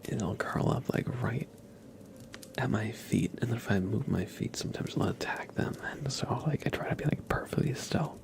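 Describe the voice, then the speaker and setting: Man, ASMR streamer, soft whisper, very gravelly fry, gentle casual chat, close mic. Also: fairly low pitch, moderate pace. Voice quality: breathy whisper with heavy fry. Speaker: ASMR livestream host. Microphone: close ASMR microphone.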